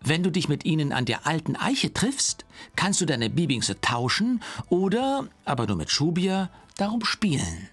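A man's voice: fairly high pitch, sing-song, moderate pace, a bit bright, breathy edge, soft background music. A man reading scripted instructions for a children's game in an explanatory way. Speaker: game narrator.

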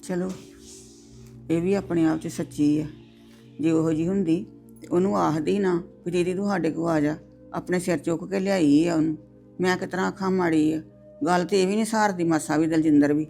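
A woman's voice: on the low side, steady tone, normal speed, creaky, mellow, soft background music. A woman playing an older mother-in-law who reasons firmly and soothes her daughter-in-law in a family drama. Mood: soothing. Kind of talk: domestic drama acting.

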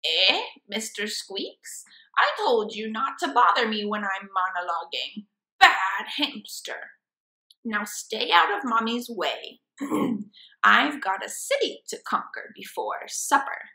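Comic-book mad scientist villainess, acted by a woman: domineering, pompous, unhurried, bright forceful voice. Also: on the high side, sing-song, gravelly, roomy sound, heavily acted, rough recording. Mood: scolding. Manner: pompous, deliberate monologuing. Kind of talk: dramatic comic-book read-aloud.

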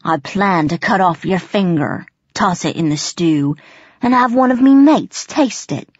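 A woman's voice: on the low side, lively intonation, unhurried, darkish tone, slightly rough, dry recording, highs cut off. A woman voicing a menacing, gloating male goblin chief, a crude bully with rustic ungrammatical speech, in an audiobook. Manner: rustic ungrammatical dialect, snarling threats. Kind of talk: audiobook character voice.

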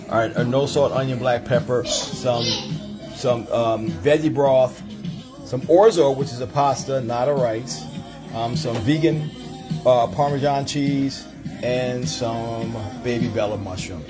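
A man hosting a casual vegan cooking livestream from his home kitchen, talking to viewers as family in a relaxed, chatty way. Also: mid-range voice. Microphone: phone camera.

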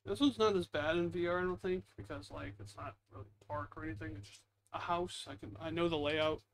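A man with a slightly high voice hosts a gaming video in a casual, rambling way. He mutters self-deprecating asides as he plays. The home headset recording has some faint noise. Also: fairly even intonation, brisk. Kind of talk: casual gameplay commentary.

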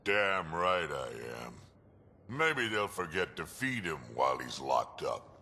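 An actor playing a huge, gruff, sardonic old alien warrior in a video game, terse and deadpan. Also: male voice, rumbling low, slow, in a large hall, exaggerated voice. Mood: grim satisfaction.

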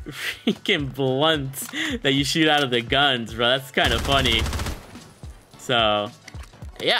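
A man in his late twenties giving hyped, slangy, casual gameplay commentary as a YouTuber, close on the mic in a small room. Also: fairly high pitch. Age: late 20s. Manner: hyped, slangy, chuckling streamer talk. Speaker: gaming YouTuber reviewing a bundle. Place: small indoor gaming room. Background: faint music.

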